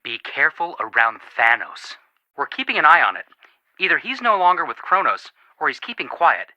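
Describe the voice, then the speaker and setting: An actor playing an earnest, sympathetic young amateur detective in scripted video game dialogue. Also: male voice, fairly high pitch, very animated, normal speed, sharp tone, no room sound, exaggerated voice, rough recording. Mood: concerned.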